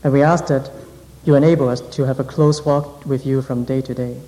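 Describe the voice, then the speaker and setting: A man leading a congregation in earnest, measured prayer. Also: medium pitch, steady tone, mellow. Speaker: interpreter and prayer leader. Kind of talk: interpreting a sermon, then leading prayer. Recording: reverberant hall.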